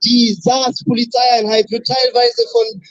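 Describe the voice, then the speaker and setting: A man's voice: very high-pitched, level tone, a little slow, dry recording. A man from Berlin giving a rousing protest speech at an outdoor street rally, leading chants into a handheld mic. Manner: rousing, leads chants, shouts slogans. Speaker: rally speaker. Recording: dull lo-fi sound.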